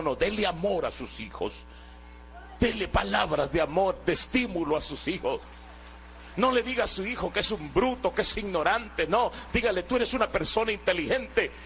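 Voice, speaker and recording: Earnest preacher, high voice. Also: male voice, fairly bright tone, a little hiss or hum. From Guatemala. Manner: earnest, exhorting, with hesitant restarts. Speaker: preacher giving testimony. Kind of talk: sermon testimony.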